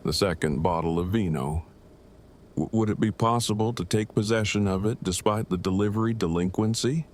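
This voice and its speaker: A man's voice: on the low side, normal speed, natural voice. An actor playing an anxious, defensive man who talks in pedantic, stilted, overly formal phrases in an audio drama. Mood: pleading.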